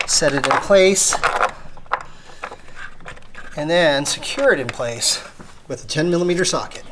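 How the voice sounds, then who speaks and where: A man's voice: on the high side, lively intonation, a little slow. A man giving a casual, plain-spoken, hands-on car repair tutorial, explaining things step by step. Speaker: how-to video host. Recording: noticeable background noise.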